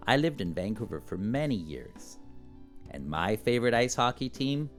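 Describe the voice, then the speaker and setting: A man from Canada, giving a video lesson to young pupils in slow, simple, friendly speech. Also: lowish voice, big pitch swings, faint music behind. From Canada, British Columbia. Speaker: English teacher for children. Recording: roomy echo.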